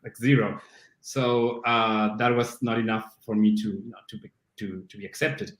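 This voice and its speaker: A man's voice: on the low side, little pitch movement, normal speed. A middle-aged man from Argentina with an Argentine Spanish accent, a native Spanish speaker chatting as a podcast guest, self-deprecating and full of restarts. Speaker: guest, CrossFit coach. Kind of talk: casual interview chat. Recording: roomy echo.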